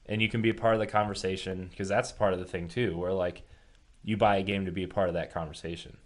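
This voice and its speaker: A man's voice: low-pitched, fairly even intonation, fast. A man hosting a casual gaming podcast, talking informally and reasoning things through with examples. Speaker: podcast host.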